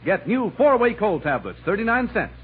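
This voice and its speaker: A man's voice: high voice, very animated, moderate pace. A man reading a scripted mid-century radio commercial as announcer. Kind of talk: scripted 1950s-60s radio ad read. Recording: tinny, hissy old radio recording.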